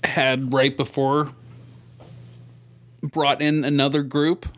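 A man's voice: mid-range voice, flat delivery, slow, fairly bright tone. A man co-hosting a podcast, chatting casually with wisecracks and anecdotes in an offhand, mildly profane way. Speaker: podcast co-host.

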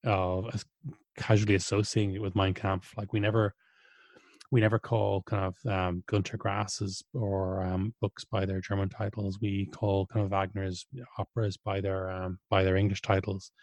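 A man's voice: deep voice, level tone, moderate pace, darkish tone, no room sound. A man who speaks Irish, talking things through in a casual conversation, hesitant and full of restarts. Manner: thoughtful, hesitant, many restarts and fillers.

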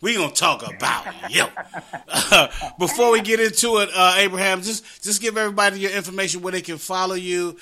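A man hosts a casual talk show with a high, bright, pointed voice. He banters with his guest and likes to repeat his phrases. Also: brisk. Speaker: podcast host. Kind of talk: casual interview chat.

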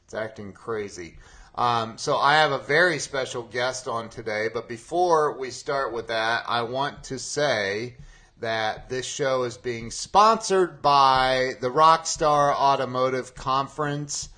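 A man hosting a podcast in a casual, conversational interview style, speaking informally and haltingly with repeated phrases. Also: medium pitch, sharp tone. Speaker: podcast host interviewing a guest.

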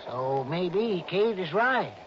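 A man playing a folksy, nervous, slow-witted lodge keeper in a radio drama, hesitant and stammering. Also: high voice, lively intonation. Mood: uneasy. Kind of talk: 1960s radio drama character acting. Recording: dull, noisy old radio broadcast.

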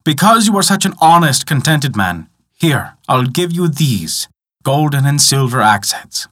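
A man voicing a very old, brusque but generous man in a storybook tale, loud and commanding. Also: mid-range voice, very animated, a little slow. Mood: hearty, generous.

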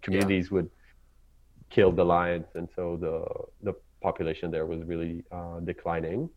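A man from Israel with an Israeli accent, English not his native tongue, talking casually as a guest and often pausing to search for words. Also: low-pitched, little pitch movement, dull audio, slight background noise. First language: Hebrew. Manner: halting, searching for words, many fillers and restarts. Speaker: ecologist guest. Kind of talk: casual interview talk.

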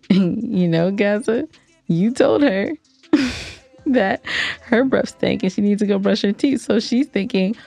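A woman's voice: mid-range voice, slow pace, gravelly, dark tone, dry recording. A woman co-hosting a casual podcast, telling stories in a giggly, teasing way. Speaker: podcast co-host. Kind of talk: casual teasing banter and storytelling.

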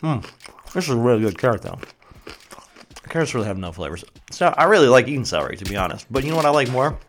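A man's voice: medium pitch, sing-song, fast talker, fairly bright tone, a little hiss or hum. A man hosting a solo podcast, rambling from tangent to tangent with sarcastic, crude jokes, sometimes chewing while he talks. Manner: joking, sarcastic, tangent-prone, crude humor. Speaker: podcast host.

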